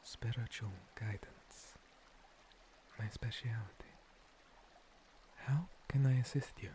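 Male actor as kindly age-old monster cleric, breathy whisper, dark soft timbre, unhurried, polite and formal, fantasy ASMR roleplay. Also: mid-range voice, slight background noise, natural voice. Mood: calm, reflective. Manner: soft-spoken, hesitant, courteous, formal phrasing. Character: gentle, long-lived male monster cleric of a dream god.

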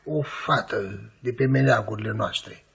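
Very husky old peasant. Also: male voice, fairly low pitch, full character voice. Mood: inquisitive, concerned. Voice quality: husky, creaky. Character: inquisitive old male peasant from the countryside, plain-spoken. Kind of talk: Romanian radio theatre acting. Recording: 1950s radio theatre recording.

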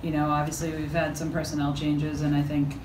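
A middle-aged woman head coach answering postgame questions at a press conference, halting and full of fillers and restarts. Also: low-pitched, level tone. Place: press conference room with backdrop. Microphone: table mic from a few feet. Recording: echoey room, mic at a distance, noisy.

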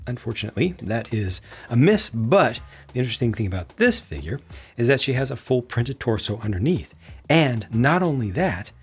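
A man in his thirties, unscripted, giving an opinionated toy review with lively gestures, recorded indoors in front of shelves. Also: medium pitch, normal speed, slightly rough, faint music behind. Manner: animated hand gestures, opinionated. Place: indoor room with storage shelves.

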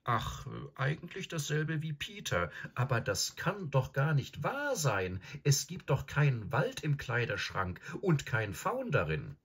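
A man's voice: medium pitch, wildly swooping pitch, unhurried, few pauses, a bit bright, slightly rough, pitched above the performer's own voice, full character voice. A man voicing an anxious, earnest schoolgirl in a storybook, hesitant and plaintive as she protests. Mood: worried. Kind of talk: audiobook character acting.

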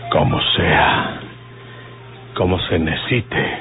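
An actor in an old radio drama playing a resolute, plain-spoken rustic outlaw leader. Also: male voice, deep voice, animated, slow pace. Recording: tinny old radio recording with music.

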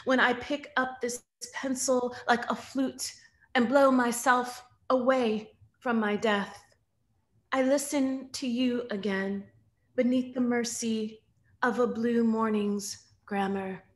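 A woman in her thirties reading poetry with deliberate, measured phrasing. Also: fairly high pitch, fairly even intonation. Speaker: poet reading at an online event. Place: room at home.

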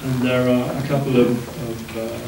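Older man, flat, echoey hall. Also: mid-range voice, vocal fry. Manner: measured, hesitant with fillers. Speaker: panelist. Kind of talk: panel discussion answer. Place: wood-panelled hall, large indoor room. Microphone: handheld mic, far camera. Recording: echoey hall from far off, noisy.

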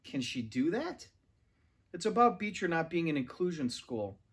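A man's voice: fairly high pitch, big pitch swings, normal speed, fairly bright tone, breathy edge. A man around forty reading a children's novel aloud indoors, recorded on a camera a little way off. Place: large furnished living room, indoors. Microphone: camera or phone a few metres away.

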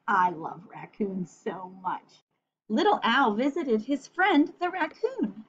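A woman reading a picture book aloud for children in an expressive, gentle way, with chatty asides. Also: fairly high pitch, slow pace, husky. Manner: expressive, gentle, addressing young listeners. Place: small room at home. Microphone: computer webcam at arm's length. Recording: dull, muffled webcam recording.

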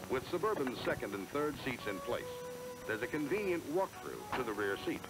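A man's voice: medium pitch, sing-song, normal speed, rough recording. An American man reading scripted promotional narration in a polished, emphatic salesman style, on a 1970s film soundtrack. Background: music.

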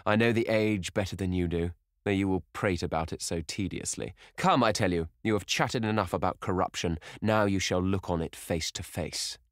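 A man voicing a proud, insolent young man who speaks in a hard, clear, taunting way. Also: low voice, normal speed, no echo, studio quality, close to an everyday voice.

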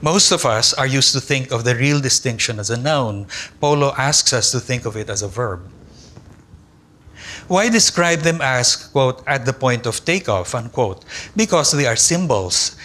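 An older man whose first language is Tagalog, reading a philosophy lecture at a conference in a measured, deliberate way from a lectern in a large lecture hall. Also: mid-range voice, lively intonation, fairly bright tone. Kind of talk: academic conference lecture read from a text. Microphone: lectern microphone.

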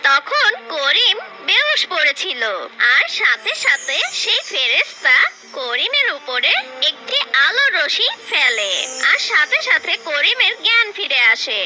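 A woman's voice: very high voice, sing-song, measured pace, pushed and bright, faint music behind, low-quality recording. A woman narrating a scripted, dramatic cartoon story as its storyteller.

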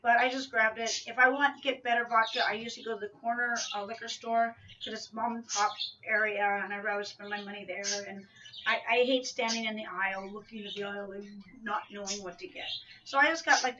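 A woman's voice: a little high, pushed and bright, a little hiss or hum. A middle-aged woman vlogging casually in her home kitchen, talking in a rambling, chatty way and often correcting herself mid-sentence. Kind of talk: casual grocery haul vlog. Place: small home kitchen, indoors. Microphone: camera mic from a few feet away. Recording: distant, dull lo-fi camera audio.

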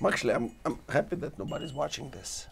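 A man hosting a talk show, declaiming in dramatic lists while his voice is going hoarse. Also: mid-range voice, very animated, fast talker. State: losing his voice. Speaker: show host. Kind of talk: rambling philosophical monologue. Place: studio with green-screen backdrop.

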